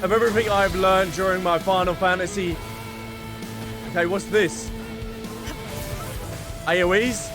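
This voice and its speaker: A man giving live gameplay commentary as a streamer, excitable and often repeating his words in quick bursts. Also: high voice. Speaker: gaming streamer. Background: loud game music.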